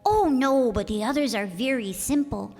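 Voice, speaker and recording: A woman's voice: a little high, normal speed, a little mellow. A woman playing an earnest, innocent, wistful young boy prince in a theatrical radio play. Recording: echoey hall with music bed.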